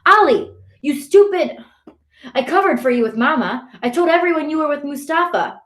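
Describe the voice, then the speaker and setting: A woman's voice: sits high, expressive, moderate pace, fairly bright tone, reverberant, pitched above the performer's own voice. A woman voicing a hot-tempered boy scolding his younger brother in an exasperated, accusing way, in an audiobook. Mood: angry, exasperated.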